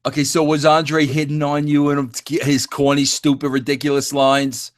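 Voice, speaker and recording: A man's voice: a little high, level tone, moderate pace. A man hosting a podcast interview, teasing his guest and often repeating words and restarting sentences. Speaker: podcast host. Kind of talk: casual interview chat.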